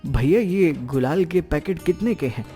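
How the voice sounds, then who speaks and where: A man's voice: on the high side, animated, moderate pace, mellow, soft background music, lightly acted. A man voicing a poor, humble and polite working father in a cartoon moral story. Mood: worried.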